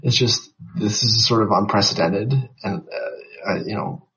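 A tired man with a shrill voice, talking hesitantly as a podcast guest about baseball. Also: measured pace, fairly bright tone. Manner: hesitant, many fillers and restarts. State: tired from a late night. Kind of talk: casual podcast chat. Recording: lo-fi podcast mic.

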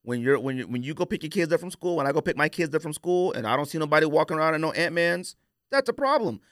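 A man's voice: a little high, animated, fast, a bit bright, no echo, clean studio sound. An American man in his forties hosting a podcast, debating sneakers casually and emphatically, repeating phrases for stress. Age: 40s. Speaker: podcast host.

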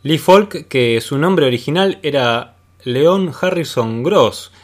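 A man's voice: fairly high pitch, animated, takes it slowly, echoey room. A man with an Argentine accent hosting a podcast, chatting casually about comics. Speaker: podcast host.

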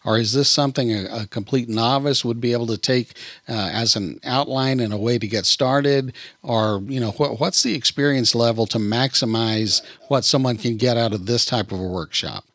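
A man's voice: mid-range voice, normal speed, a bit bright, no echo. Dry-sounding male podcast host. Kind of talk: casual interview.

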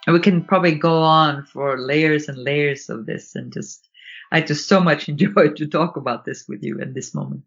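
A woman's voice: low-pitched, normal speed, vocal fry, muffled recording, faint music behind. A woman, a native Swedish speaker with a Swedish accent, talking reflectively as an interview guest. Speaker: guest, author and trainer in nonviolent communication.

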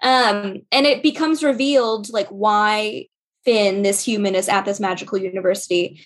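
A woman podcast host talking casually and a little haltingly about books. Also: medium pitch, fairly even intonation. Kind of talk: casual book chat.

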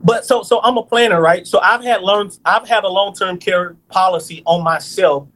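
Man, 30s, high voice, financial planner guest, chatty and lively, keeps checking in with the listener. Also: normal speed, low-quality recording. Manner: lively, explains step by step with repeated "right?" checks. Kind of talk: casual podcast conversation.

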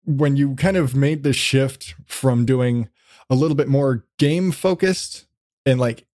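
A man's voice: medium pitch, slow pace, a little mellow, dry recording, studio quality. A man hosting a podcast in a casual, rambling, sweary chat style. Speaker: podcast host.

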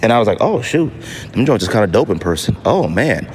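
A middle-aged American man talks casually as a solo podcast host. His voice sits fairly low, and he tells stories in an animated, slangy style with emphatic repetition. There is some noise in the background of the recording. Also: a little fast. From United States. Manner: animated, slangy storytelling with mock voices for others.

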